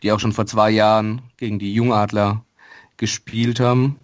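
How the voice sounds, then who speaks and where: A man's voice: fairly low pitch, flat delivery, normal speed, no room sound, studio quality. A man co-hosting a casual sports podcast, matter-of-fact and hesitant, with many fillers. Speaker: podcast co-host.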